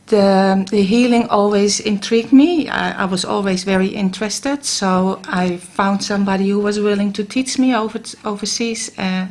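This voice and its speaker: A middle-aged woman from the Netherlands with a Dutch accent, a guest on a radio show, talking about Reiki with many pauses and restarts. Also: mid-range voice. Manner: hesitant, many ums and restarts. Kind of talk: casual radio interview. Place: radio studio.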